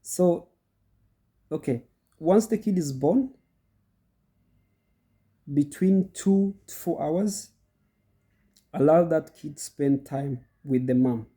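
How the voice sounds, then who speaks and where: A man's voice: a little high, takes it slowly, long pauses, warm tone, slightly rough. A middle-aged goat farmer with a cold, hosting his channel and explaining step by step in a chatty way to his viewers, often repeating himself. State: congested from a cold. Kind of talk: casual instructional talk to viewers.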